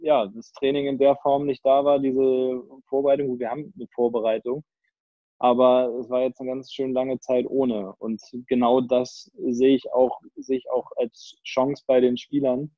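A man's voice: mid-range voice, flat delivery, moderate pace, no room sound, lo-fi sound. A young man from northern Germany, a handball player speaking casually on a video call through earbuds, hesitating with fillers and restarts as he thinks aloud. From northern Germany, Kiel area. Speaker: handball player, interview guest.

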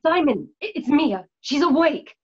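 A woman playing a calm, wise healer in a radio drama, speaking in measured, formal phrases. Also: sits high. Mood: urgent. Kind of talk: online radio drama acting. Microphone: video call, home setup. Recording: dull lo-fi video call.

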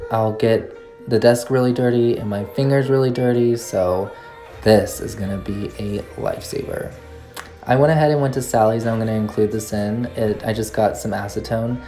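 A woman vlogging her shopping trip and haul, gushing over everything she picked up. She talks into a handheld phone camera in a store and later at her desk at home. Faint music plays softly underneath. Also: a bass voice, steady tone, normal speed. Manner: gushing, enthusiastic. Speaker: nail art YouTuber. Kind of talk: casual shopping haul vlog. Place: nail supply store, then home desk, indoors.

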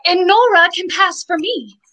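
Very high-pitched, fearful young bride. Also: female voice, a little slow, sharp tone, full character voice. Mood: naive, hopeful. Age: young adult. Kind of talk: old-time radio mystery acting. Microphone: video call. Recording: faint music behind.

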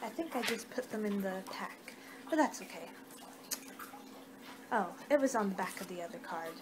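A young woman doing an improvised ASMR roleplay, muttering in an unhurried, distracted way on a noisy amateur home recording. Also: medium pitch, animated. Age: young adult. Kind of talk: ASMR roleplay, improvised reading of fortune cards. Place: small home room, indoors. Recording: noisy amateur webcam-style room recording.

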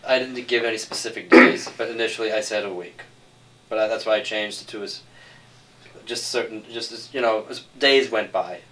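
A man with a low voice debates insistently, circling back to his point. He sounds a little way from the mic, with slight noise around him. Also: fairly quick. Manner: insistent, repeats himself. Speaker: screenwriter defending his script. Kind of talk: argumentative group discussion. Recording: distant mic with some noise.

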